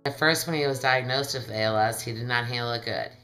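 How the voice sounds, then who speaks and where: A woman in her fifties speaks in a measured, sober documentary interview. Her voice is unusually deep for a woman, with faint music under it. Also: fairly bright tone, poor audio. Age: 50s.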